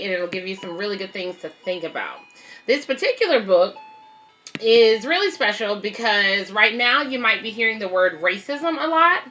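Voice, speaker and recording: Bright-voiced woman reading aloud for children. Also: mid-range voice, low-quality recording. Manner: simple, instructive, addressing young listeners. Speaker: children's read-aloud host, babysitter. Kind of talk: picture-book read-aloud with friendly explanations for children.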